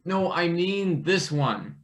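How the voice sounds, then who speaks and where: A man's voice: sits high, expressive, slow pace. A man playing a nervous but cheeky new intern in a live play reading, with a hesitant, awkward delivery. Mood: unsure, nervous. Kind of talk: live table read of a satirical play.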